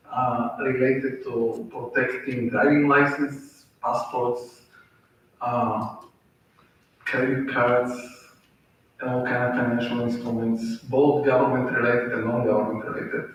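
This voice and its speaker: A man's voice: medium pitch, a little hiss or hum. Bulgarian-accented man in an echoey theatre. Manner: listing items with many pauses. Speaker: panelist. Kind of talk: panel introduction. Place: lecture theatre. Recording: echoey room from far off.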